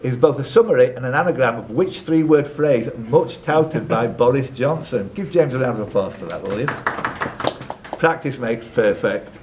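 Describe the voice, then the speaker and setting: Male quiz host and question reader, medium pitch, bantering and teasing with players. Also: lively intonation, normal speed, a little mellow, slight background noise. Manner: jokey, teasing asides to contestants. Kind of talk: live quiz hosting, reading questions with banter.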